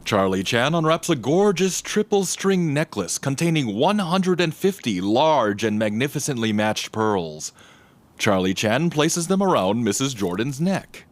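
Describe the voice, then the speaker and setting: A male narrator with a mid-range voice and wide, expressive intonation. He reads scripted stage descriptions for an audio drama. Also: medium pitch, unhurried, no room sound.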